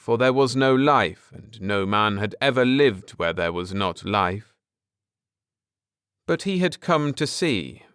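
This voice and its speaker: A man reading a science fiction story aloud as an audiobook narrator, in a measured, deliberate, dramatic manner. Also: mid-range voice, fairly bright tone, clear, resonant tone, dry recording, studio quality. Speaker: audiobook narrator and channel host.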